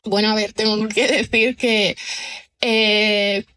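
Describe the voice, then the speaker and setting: Bright-voiced chatty woman. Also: medium pitch, flat delivery, takes it slowly, poor audio. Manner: chatty, many fillers and tag questions. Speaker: guest writer. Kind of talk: relaxed podcast conversation.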